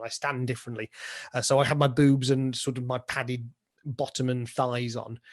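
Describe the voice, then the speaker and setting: A British man giving informal, chatty interview answers as a pantomime dame and director, often explaining things through acted-out examples. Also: medium pitch.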